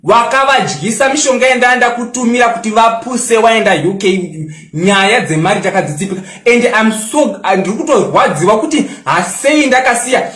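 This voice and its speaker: High-voiced young Zimbabwean man, taunting. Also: fairly bright tone, roomy sound. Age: 20s. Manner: boastful, taunting and mocking; mixes English into local-language talk. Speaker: social media personality. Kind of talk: angry response rant.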